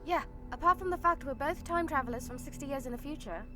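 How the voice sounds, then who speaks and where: A woman playing a lively, cheeky young time traveler's assistant in a fan audio drama. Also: sits high, fast talker, music in the background, close to an everyday voice. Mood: relieved, cheeky.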